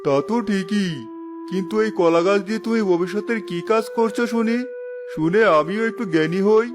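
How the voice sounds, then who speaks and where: A man's voice: sits high, normal speed, music in the background, lightly acted. A man voicing a smug, mocking village neighbour in a cartoon, teasing and sarcastic. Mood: curious, teasing. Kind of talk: cartoon voice acting.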